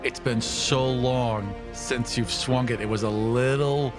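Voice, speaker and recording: Male tabletop player, medium pitch, casual game narration with music clearly underneath. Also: fairly even intonation, slow.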